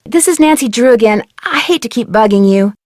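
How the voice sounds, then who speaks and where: Actress doing a young girl detective, polite and curious, highish voice, dry sound, video game. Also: lively intonation, fairly quick, darkish tone, no room sound, lightly acted. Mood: inquisitive, polite. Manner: polite, earnest. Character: polite, inquisitive young female amateur detective.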